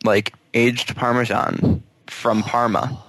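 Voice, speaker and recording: A man co-hosts a podcast with playful, argumentative banter. His voice sits at an ordinary pitch. The recording is close and dry. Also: little pitch movement, slow pace, a bit bright, no room sound, poor audio. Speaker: podcast co-host. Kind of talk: casual chat.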